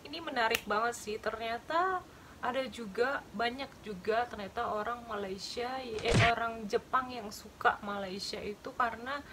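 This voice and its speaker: A woman's voice: on the high side, normal speed, heavy background noise. A young woman from Indonesia chatting casually to her viewers as a reaction vlogger, calling them guys, recorded on a webcam in a small bedroom. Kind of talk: casual chat. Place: small bedroom with shelves, indoors. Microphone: webcam at desk.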